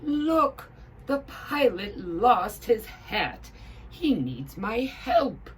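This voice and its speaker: A woman voicing an eager, indignant male talking hat in a children's story, exclaiming in slow, emphatic words. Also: a little high, big pitch swings, slight background noise, pitched above the performer's own voice, heavily acted. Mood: eager, alarmed.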